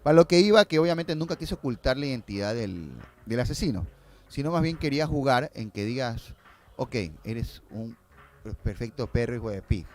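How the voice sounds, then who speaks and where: A man's voice: mid-range voice, expressive, moderate pace, darkish tone. A man speaking as a guest filmmaker in casual conversation, explaining and telling stories, often repeating phrases for emphasis.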